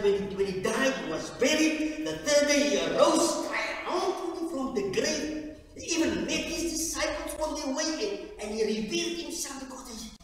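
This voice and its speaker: A man from South Africa preaching at a communion service, with fervent loud peaks that drop to quiet low stretches and frequent halting restarts. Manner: halting, repeats words and restarts. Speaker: lay preacher. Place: large echoey church hall. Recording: echoey hall from far off, faint hiss.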